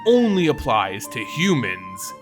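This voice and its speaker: A man's voice: mid-range voice, huge pitch swings, a little slow, music in the background, voice pushed down. A man voicing a menacing, contemptuous male werewolf leader with a snarling, taunting manner, in a fantasy audiobook. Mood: snarling contempt.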